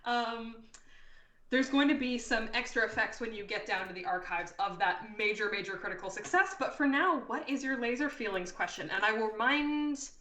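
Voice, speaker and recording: Bright-voiced woman game master, roomy sound. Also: a little high, expressive, normal speed. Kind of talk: improvised narration of a tabletop role-playing game. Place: indoor room, live online stream.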